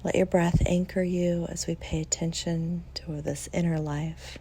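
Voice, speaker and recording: Female meditation guide: mellow, relaxed, slightly low voice, gentle and soothing delivery with unhurried pauses. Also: husky. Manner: soft, soothing, with long pauses.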